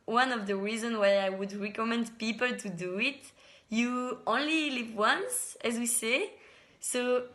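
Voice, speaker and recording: Young woman, exchange-student testimonial, earnest and upbeat, slightly high pitch. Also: fairly bright tone. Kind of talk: personal testimonial.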